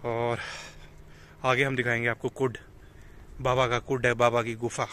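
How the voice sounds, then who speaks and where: A man's voice: mid-range voice, flat delivery, measured pace, pushed and bright. A young man casually narrating a travel vlog outdoors and in a small cave, talking to viewers as friends and exclaiming at the sights. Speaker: travel vlogger.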